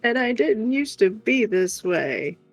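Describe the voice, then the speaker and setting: A woman's voice: a little high, sing-song, slow pace, soft background music, rough recording. A woman chatting casually as a co-host on a livestream.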